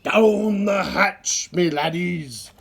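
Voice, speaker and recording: A man's voice: high-pitched, gravelly, slightly rough, voice pushed up, exaggerated voice. Man doing a boisterous, bellowing pirate captain. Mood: roaring. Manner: bellowing, drawn-out pirate talk. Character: gruff, boisterous pirate captain, hearty and commanding.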